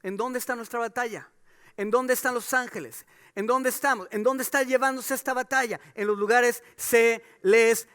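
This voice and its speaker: Male pastor, very high bright voice, smooth and clear, emphatic sermon delivery. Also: fairly even intonation, normal speed. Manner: preaching with rhetorical questions, rising to emphatic calls.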